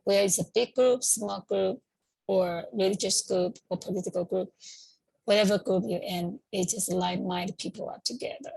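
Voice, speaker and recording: Woman giving a casual talk, gravelly and a bit breathy, dry sound, lots of pauses. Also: medium pitch, fairly even intonation, darkish tone, dry recording, rough recording. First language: Chinese. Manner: hesitant, pausing to search for words. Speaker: community talk host. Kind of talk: informal educational talk.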